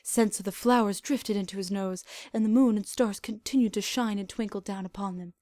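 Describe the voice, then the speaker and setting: A woman reading fan fiction aloud as an expressive scripted narration. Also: medium pitch, fairly quick, no room sound.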